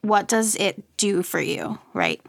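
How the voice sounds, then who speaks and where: A woman interviews in a conversational way, with noticeable vocal fry. Her questions wind along tentatively, and the sound is dry, with no room echo. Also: mid-range voice, slow pace. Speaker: interviewer, podcast host.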